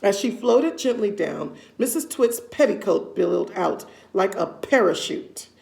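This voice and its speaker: Female read-aloud storyteller: medium pitch, noticeable vocal fry, expressive and deliberate delivery for children. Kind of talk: expressive children's story reading with casual asides.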